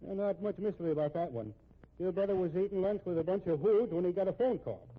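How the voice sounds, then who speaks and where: Policeman, folksy, talkative, high husky voice, old radio drama. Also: fast. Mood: matter-of-fact recounting. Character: chatty, unworried Irish-American male police officer. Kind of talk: 1950s radio drama acting. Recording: muffled, tinny old radio recording.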